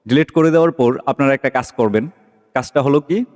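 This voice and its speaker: A man's voice: mid-range voice, fairly quick, a little mellow. Man giving a tutorial in a reverberant room. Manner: repeatedly checks in with listeners while explaining step by step. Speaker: tech tutorial host. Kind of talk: casual screen-recorded app walkthrough. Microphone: phone microphone during screen recording. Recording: long echoing reverb.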